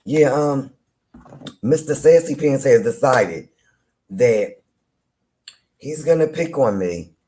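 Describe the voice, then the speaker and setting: A man with a deep voice, hosting a live chat room and teasing rivals in loose, playful banter with frequent laughter. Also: lively intonation, slow, pauses often, dark tone, slight background noise. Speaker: live audio-room host. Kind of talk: casual trash-talking chat to listeners.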